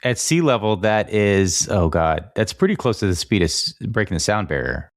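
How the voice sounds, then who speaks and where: A man's voice: fairly low pitch, lively intonation, moderate pace. A man interviewing a guest as a podcast host, in casual, conversational chat.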